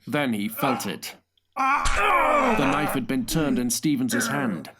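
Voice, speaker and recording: A man's voice: mid-range voice, heavy background noise. A man narrating a thriller audiobook in a dramatic, scripted style.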